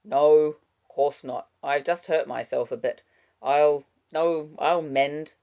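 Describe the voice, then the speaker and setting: A woman as a tired, weakened old man, low-voiced. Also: fairly even intonation, slow, warm tone. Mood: weary reassurance. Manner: weak, tired, effortful. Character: weary, weakened old man, gruff but kindly.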